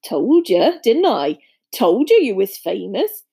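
A woman's voice: medium pitch, sing-song, takes it slowly, gravelly, dark tone, slightly rough, heavily acted. A woman voicing a big, good-natured man with a blunt, folksy, emphatic way of talking in a storybook. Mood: hearty, confiding. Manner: folksy, informal, emphatic speech.

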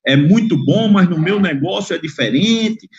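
A man's voice: high voice, animated, moderate pace, darkish tone. A man talking casually and frankly, with some slang and swearing, on a video call. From Northeast Brazil. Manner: expansive, frank, blunt with slang and mild swearing. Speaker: guest. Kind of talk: casual chat. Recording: dull lo-fi video call audio.

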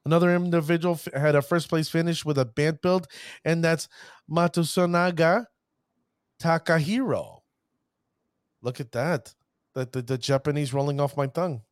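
A fairly high-voiced man runs a casual card-game show, pumping up his shout-outs with showman phrases. He stumbles over names and pushes on. The recording is dry and close with no room sound. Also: steady tone, normal speed, long pauses, clean tone, dry recording. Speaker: podcast host. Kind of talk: hype-filled casual show talk.

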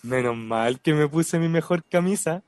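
A man's voice: on the high side, moderate pace, a little mellow, no room sound, clean studio sound, close to an everyday voice. A man with a Chilean accent acting in a radio drama as a respectful, superstitious cemetery worker. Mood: eager, smitten. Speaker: actor.

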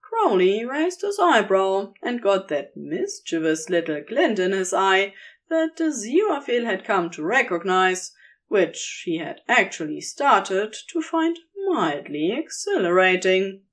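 Woman, story narrator, unhurried pace, lively intonation, medium pitch, scripted fiction.

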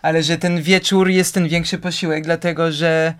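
A man's voice: fairly high pitch, little pitch movement, normal speed, clear, resonant tone. Hesitant man with a French accent. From France. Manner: hesitant, searching for words with many fillers. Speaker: interview guest. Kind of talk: casual conversation.

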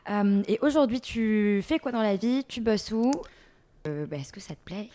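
A woman hosts a casual interview, asking short, quick questions and responding with brief encouragement. Her voice has a soft, mellow warmth at a middle pitch. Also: animated. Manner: quick rapid-fire questions, encouraging replies.

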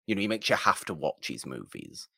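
A man's voice: low voice, very animated, a little fast, gravelly, sharp tone, slightly rough, no echo, studio quality. A man co-hosting a film podcast, discussing movies casually in an emphatic, insistent way.

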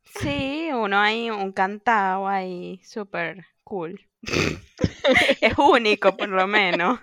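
A woman's voice: mid-range voice, slow, no room sound. Chatty woman, dry sound. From Venezuela. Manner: chatty, animated storytelling with quoted asides. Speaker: podcast co-host. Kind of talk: casual chat.

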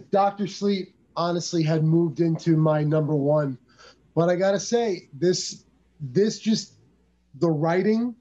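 A man's voice: high-pitched, a little mellow. A man co-hosting a casual film review podcast, joking and enthusiastic. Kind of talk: casual chat. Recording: muffled lo-fi audio.

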